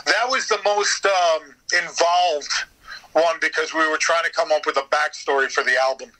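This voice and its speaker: A man chatting casually as an interview guest, telling stories in an animated way. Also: on the high side, moderate pace, low-quality recording. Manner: animated storyteller, slips into acting out bits. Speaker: interview guest, radio theater voice actor. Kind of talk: casual anecdotal interview chat.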